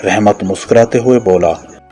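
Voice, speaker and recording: A man's voice: fairly low pitch, moderate pace, dark tone, soft background music. A man narrating a scripted moral story for a children's cartoon.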